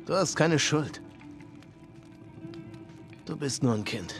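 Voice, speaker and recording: An actor playing a calm middle-aged survivor leader in a German game dub, softly persuasive and measured, soothing yet menacing. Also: male voice, a little high, gravelly, music in the background, exaggerated voice. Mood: quietly menacing.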